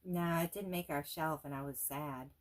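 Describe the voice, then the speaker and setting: A woman's voice: low voice, fairly even intonation, moderate pace, noisy background. A woman co-hosting in casual chat, dropping in dry, teasing comments and interjections. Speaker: co-host. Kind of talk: casual chat with playful asides.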